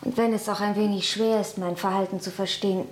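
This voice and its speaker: An actress playing an earnest, resolute young daughter in a radio drama, quiet and solemn as she pleads. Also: medium pitch, fairly even intonation, a little fast, lightly acted. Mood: solemn, pleading. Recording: echoey room with faint noise.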